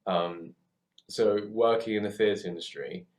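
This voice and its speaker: Deep-voiced young southern English man. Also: measured pace, a little mellow. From UK, born in Hong Kong. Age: 20s. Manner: hesitant, thoughtful, rephrasing often. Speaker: theatre worker and photoshoot co-producer. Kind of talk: reflective casual chat.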